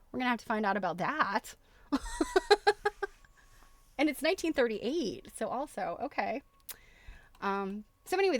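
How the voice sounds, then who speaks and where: A woman talks through what she is browsing in an informal livestream style. Her voice sits at an average pitch, and she is chatty and enthusiastic, often thinking out loud. The sound is dry, with very little room in it. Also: no room sound. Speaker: host of a craft livestream. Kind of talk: casual livestream walkthrough of websites.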